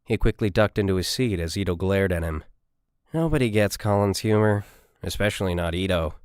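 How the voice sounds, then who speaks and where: A man's voice: low-pitched, fairly quick, smooth, dry recording, clean studio sound. A man reading a scripted military science fiction story as an audiobook narrator.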